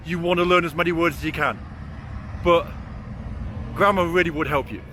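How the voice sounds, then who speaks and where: A man's voice: fairly high pitch, steady tone, normal speed. A man of forty with a weak R, chatting casually as he vlogs, blunt and self-deprecating with easy swearing. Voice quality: cannot roll or pronounce R clearly. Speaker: vlogger. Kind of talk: casual walk-and-talk vlog. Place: outdoors on city streets. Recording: outdoor city and traffic noise.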